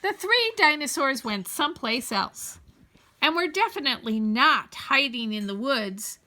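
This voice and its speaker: A woman reading a children's story aloud in an expressive, playfully wry and deliberate way. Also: high-pitched. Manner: playfully wry, deliberate, addresses young listeners. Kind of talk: animated storybook read-aloud.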